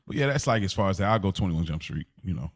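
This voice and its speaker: Low-voiced young man in casual podcast chat. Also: expressive, quick pace, dry recording, clean studio sound. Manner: colloquial slang, often saying "like". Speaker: podcast co-host.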